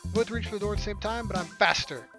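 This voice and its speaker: A woman's voice: medium pitch, racing. A woman reading a visual novel aloud as a playthrough host, with flippant, casual asides. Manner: flippant, jokey. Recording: loud game music behind the voice.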